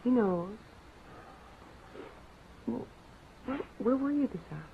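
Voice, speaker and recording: An actress playing a practical wife and mother in a radio drama, speaking in a brisk, domestic, matter-of-fact way. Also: fairly high pitch, animated, a little hiss or hum. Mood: busy, questioning. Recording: thin phone-like radio audio, loud music behind.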